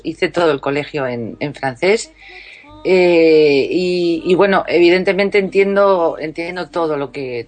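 Chatty woman singer, radio guest. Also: deep voice. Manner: warm, chatty, many restarts and fillers. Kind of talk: casual radio interview.

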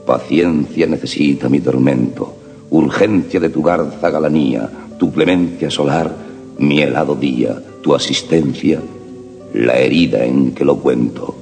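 Deep gravelly man reciting sonnets, slow and solemn, with long reverb and faint harp underneath. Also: darkish tone, faint music behind. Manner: slow, solemn, measured delivery. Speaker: poetry reciter.

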